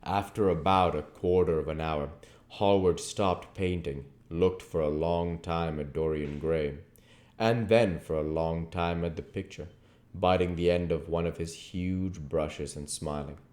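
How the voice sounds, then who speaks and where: Low-voiced man reading a novel. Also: steady tone, measured pace, a little mellow. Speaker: audiobook reader and channel host. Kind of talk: novel narration, read aloud.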